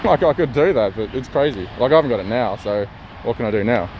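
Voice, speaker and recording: Fast-talking, argumentative man. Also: medium pitch, animated, a little mellow, slight background noise, poor audio. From Slovakia. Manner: argumentative, exasperated, defiant. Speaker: furniture remover stopped by police. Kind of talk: street argument with police. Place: outdoors on a suburban street with traffic.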